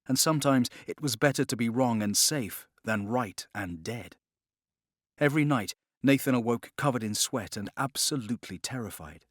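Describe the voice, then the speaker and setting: A man narrates a dramatic novel in a measured, expressive way. His voice sits at a middling male pitch, recorded dry with no room sound. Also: dry recording. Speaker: audiobook narrator.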